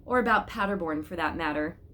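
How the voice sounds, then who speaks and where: A woman reading a storybook as a father, inquiring and measured, with a stern edge. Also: lowish voice, a bit bright, a little hiss or hum, natural voice. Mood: suspicious.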